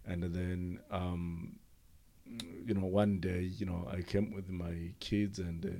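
Deep, mellow Rwandan man. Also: little pitch movement, vocal fry. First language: not English. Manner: halting, pauses often. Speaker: interviewee, former refugee. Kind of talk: personal account in an interview.

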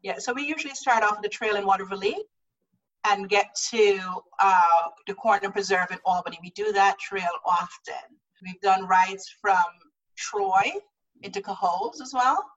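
A woman's voice: mid-range voice, moderate pace, fairly bright tone, recorded from a distance. A woman speaks in an easy, earnest way and repeats words as she thinks. She sits a little back from the mic, and the recording sounds muffled. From Guyana. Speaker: guest, cycling group organizer. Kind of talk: casual interview talk.

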